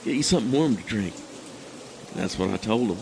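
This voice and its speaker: An actor playing a troubled, guilt-ridden husband in an audio drama, recounting a memory in a halting, hesitant, brooding monologue. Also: male voice, lowish voice, animated, creaky, darkish tone, slight background noise. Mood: anxious remembering.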